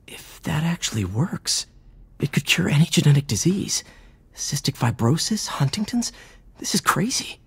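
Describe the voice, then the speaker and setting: A man's voice: on the high side, sing-song, normal speed, husky, in a whisper, full character voice. An actor playing a quippy, earnest young male superhero who talks to himself in wry asides.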